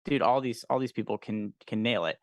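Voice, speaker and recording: A man's voice: fairly low pitch, a little fast, no echo. A young man co-hosting a livestream, chatting casually and enthusiastically about films with informal slang and some swearing. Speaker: livestream co-host.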